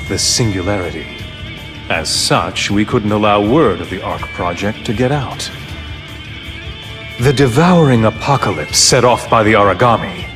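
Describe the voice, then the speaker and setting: A man playing a calculating middle-aged director, a coldly grandiose game villain who speaks in a theatrical, condescending, measured manner. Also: mid-range voice, close to an everyday voice. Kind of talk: dramatic game cutscene acting.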